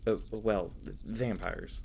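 A man acts out a youthful elf park ranger in a fantasy audio roleplay. His voice sits a little low. He talks casually and kindly, rambling and stammering with flustered, apologetic humor. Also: slow pace, creaky, darkish tone, a little hiss or hum. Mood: gentle, caring.

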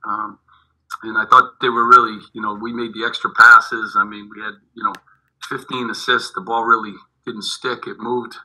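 A middle-aged man, a head basketball coach, answering postgame questions over a video call, halting and repeating his words, with many fillers. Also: lowish voice, flat delivery, pushed and bright, poor audio. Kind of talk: postgame press conference answers. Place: indoors in front of a team backdrop. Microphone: video call webcam.

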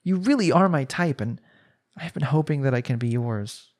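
A man acts out a young husband in a romantic audio drama, with a mid-pitched voice. He is devoted and possessive, and speaks intimately and imploringly to the spouse he adores. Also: animated, normal speed, lightly acted. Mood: earnest, yearning. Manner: earnest, pleading, sighing delivery. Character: jealous, lovestruck young husband pleading with his spouse. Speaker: audio roleplay voice actor. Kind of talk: intimate romantic audio roleplay, one-sided dialogue.

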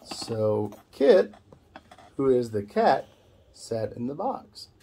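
Husky dad, slow and very animated. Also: male voice, medium pitch. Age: middle-aged. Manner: simple short phrases, prompting with questions. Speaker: father guiding a child's reading lesson. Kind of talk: gentle explaining and questioning to young children. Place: small indoor room at a table.

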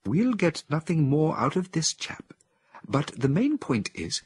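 A man voicing a learned male chimpanzee scientist who speaks solemnly and with excitement, in an audiobook reading. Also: on the high side, unhurried, breathy edge, no room sound, pitched above the performer's own voice, full character voice. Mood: excited.

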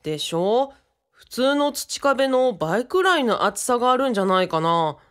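Radio drama youth, male role voiced by a woman: earnest, polite, matter-of-fact, with animated intonation. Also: fairly high pitch, moderate pace. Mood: thoughtful.

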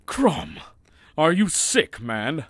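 Male actor as gruff barbarian warrior, demanding, animated swings, slow delivery, dry studio sound, fantasy radio play. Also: fairly high pitch, no echo, exaggerated voice. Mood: wary. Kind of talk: fantasy audio drama acting.

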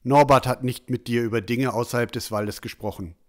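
A man's voice: medium pitch, steady tone, lightly acted. Stern, reproachful father, played by a man. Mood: stern disappointment. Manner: reproachful, measured. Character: stern, disappointed village father. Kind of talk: fantasy audio drama acting.

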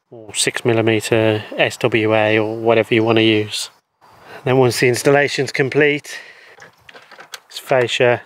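Hoarse guy, 30s, explaining how to fit a charger outside, lapel mic, laid back with lots of ums. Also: medium pitch. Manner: relaxed, explanatory, with frequent ums and so's. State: hoarse, losing his voice. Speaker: EV charger installer and channel host. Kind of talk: hands-on installation demo. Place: outdoor garden beside a wooden shed.

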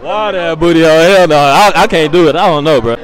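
A man's voice: a little high, fast, rough recording. A young man doing a brash, slangy, profane street interview on a handheld mic in a large indoor cafeteria. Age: young adult. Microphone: small handheld mic. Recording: busy room crowd chatter.

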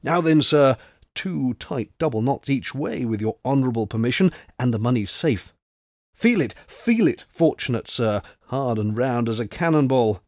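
A man's voice: medium pitch, lively intonation, moderate pace, darkish tone, dry recording, clean studio sound, lightly acted. A man doing a blustering old French grenadier. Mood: boisterous. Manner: blustering, exclamatory, drops into confidential tones. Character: boisterous old French ex-grenadier, crafty, jovial. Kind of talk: audiobook character voice.

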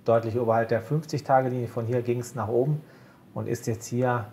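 A man co-hosting a casual market chat, calmly walking through stock charts point by point. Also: mid-range voice, fairly quick, slight background noise. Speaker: co-host of a broker's market show.

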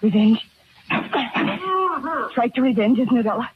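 A woman's voice: a little high, animated, takes it slowly. An actress playing a young woman driven mad and obsessed with revenge, intense and repetitive, in 1940s radio style. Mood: crazed, chanting. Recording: noisy dull 1940s radio recording.

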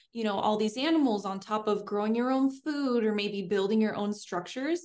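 Young woman chatting as interview guest. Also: mid-range voice. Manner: upbeat, fluent, chatty. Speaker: guest, homesteader and streamer. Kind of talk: casual interview answers. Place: indoors in front of a green screen.

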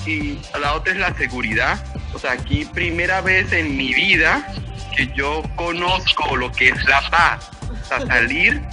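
A man's voice: on the high side, lively intonation, a little slow, music in the background. A young Venezuelan man with a Táchira border accent, a rambling interview guest who often repeats his words. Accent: Venezuelan Andean, from Táchira near the Colombian border. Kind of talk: casual interview answers.

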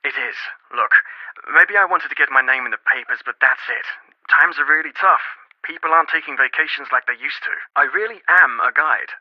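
A man's voice: on the high side, fairly quick, no echo, lo-fi sound, close to an everyday voice. A man with a British accent voicing a sarcastic, cash-strapped young tour guide in a video game. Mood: wry. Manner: sarcastic, joking. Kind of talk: video game character voice lines.

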